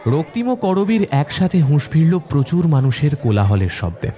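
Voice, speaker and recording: A man narrating a scripted horror story in a measured, suspenseful, dramatic manner. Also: on the high side, big pitch swings. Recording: soft music bed behind narration.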